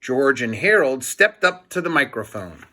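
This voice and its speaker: A man reading a children's book aloud in an expressive storytelling style, like a teacher to a class. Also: mid-range voice, normal speed.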